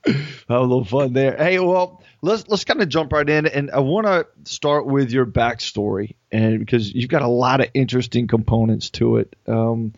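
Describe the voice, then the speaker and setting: A man's voice: medium pitch, dry recording, dull audio. A man hosting a podcast in an upbeat, casual tone. His mid-range voice sounds close and dry, with no room around it. He chats easily with his guest. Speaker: podcast host and coach.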